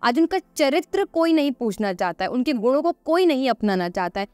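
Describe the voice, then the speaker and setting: High, clear-voiced woman explaining emphatically. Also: big pitch swings, brisk, a little mellow, no echo, soft background music. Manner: emphatic, repeats phrases and asks rhetorical questions. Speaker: podcast guest. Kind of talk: earnest conversational explaining in an interview.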